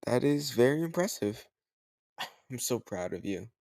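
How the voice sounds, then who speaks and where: A man playing a teasing, slightly older home tutor who gently chides the listener in a conversational, intimate roleplay audio drama. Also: medium pitch, animated, unhurried, no room sound. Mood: playful, sly.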